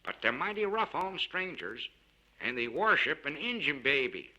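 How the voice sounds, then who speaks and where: A man voicing a rustic frontier expressman who spins tall tales with folksy, drawn-out emphasis and wonder. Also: on the high side, very animated, pushed and bright, dull audio, pitched above the performer's own voice, full character voice. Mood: boastful wonder.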